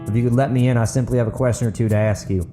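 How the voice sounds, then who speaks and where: A man voicing a composed, self-assured mayor with a polite, measured, official manner in an audiobook. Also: mid-range voice, fairly even intonation, music in the background, close to an everyday voice. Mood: polite, probing. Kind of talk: dramatic audiobook character acting.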